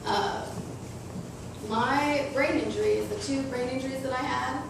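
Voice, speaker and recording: A woman with a raspy voice speaks earnestly to schoolchildren in a slightly high register. The sound is distant and boomy, caught in a hard, echoing school hall with steady noise underneath. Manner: earnest, slow and deliberate with children. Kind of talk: personal talk on choices. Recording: echoey room, distant mic, noisy.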